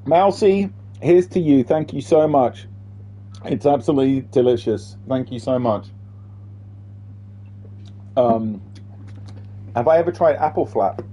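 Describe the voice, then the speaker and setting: A man's voice: medium pitch, measured pace, pauses often, warm tone. A man chatting and rambling casually while live-streaming a video game, with the odd swear word. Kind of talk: casual live-stream chat over gameplay.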